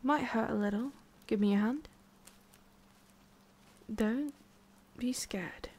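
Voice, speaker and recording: A woman playing a lonely, guarded immortal witch in an intimate ASMR roleplay, speaking softly and often dropping to a whisper. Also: a little high, slow pace, husky. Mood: guarded, gentle.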